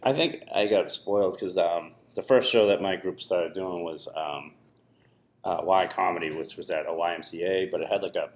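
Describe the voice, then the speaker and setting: A man hosting a podcast, chatting casually in a halting, hesitant way. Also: deep voice. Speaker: podcast host. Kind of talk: casual chat.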